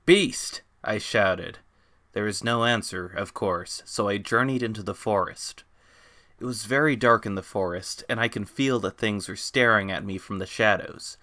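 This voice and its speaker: A man's voice: on the low side, moderate pace, bright, forceful tone, dry recording. A man giving a dramatic reading of fan fiction as its narrator. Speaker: author reading own story.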